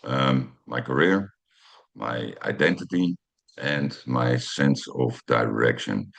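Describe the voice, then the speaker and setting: Very deep-voiced Dutch man in his fifties. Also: takes it slowly, vocal fry, a bit bright. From Netherlands. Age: 50s. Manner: hesitant, many ums and uhs, reads from notes. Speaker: channel host. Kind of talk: personal storytelling to camera.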